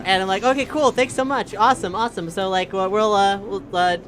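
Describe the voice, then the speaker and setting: A woman's voice: mid-range voice, fairly even intonation, fairly quick, soft background music. A woman playing a tabletop role-playing game, talking in a casual, improvised way.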